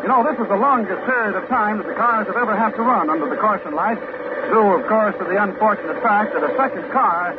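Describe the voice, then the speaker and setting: High-voiced race commentator, heavy noise. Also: male voice, fast talker. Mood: reporting, keen. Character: male motor race commentator on a car radio. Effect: car radio broadcast. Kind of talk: live race commentary in a radio drama. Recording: very noisy, tinny 1950s radio recording.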